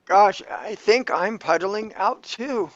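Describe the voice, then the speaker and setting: A man's voice: sits high, slow. A man playing a laid-back, philosophical character who explains things matter-of-factly in an amateur audio drama. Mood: unfazed. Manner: casual, philosophical, unfazed. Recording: dull lo-fi dry recording.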